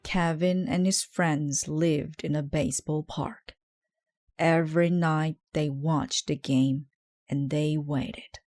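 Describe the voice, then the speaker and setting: Slow, low-pitched woman reading a storybook. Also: fairly even intonation, darkish tone, soft background music. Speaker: storybook reader. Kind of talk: read-aloud children's story narration.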